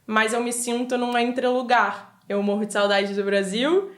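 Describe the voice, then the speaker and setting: A woman's voice: on the high side, normal speed. A young Brazilian woman with a Carioca accent, a podcast guest talking in a reflective, earnest way. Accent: Carioca Brazilian Portuguese. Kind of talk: casual chat. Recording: roomy echo.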